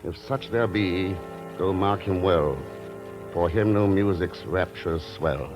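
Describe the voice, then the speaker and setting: An actor playing a wry, unflappable secret agent in a 1940s radio drama, dry and authoritative. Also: male voice, deep voice, slow, music in the background. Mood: solemn. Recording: muffled, noisy vintage radio recording.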